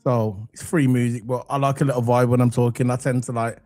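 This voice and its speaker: A man hosting a casual football live stream, croaky from a cold, loose and chatty with lots of ums as he talks to the chat. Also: medium pitch, level tone, fairly quick. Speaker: football channel host. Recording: faint background music under voice. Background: soft background music.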